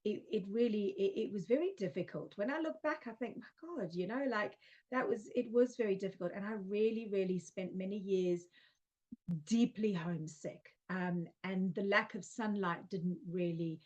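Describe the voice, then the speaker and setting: A woman's voice: medium pitch, normal speed. Heartfelt woman in her forties, slightly muffled. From South Africa. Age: 40s. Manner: soft, heartfelt, many fillers and restarts. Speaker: guest. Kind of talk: casual spiritual conversation.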